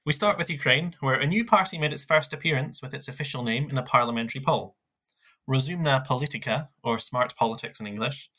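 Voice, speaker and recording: A man co-hosting a scripted news roundup, reading headlines in a measured way with small asides. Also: medium pitch, sharp tone. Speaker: news podcast co-host.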